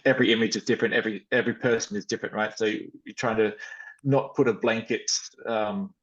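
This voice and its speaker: A man's voice: fairly low pitch, steady tone, fast talker, a bit bright, highs cut off. A man talking informally and a little hesitantly as a guest, explaining how he works, in an online discussion. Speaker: interview guest, photographer.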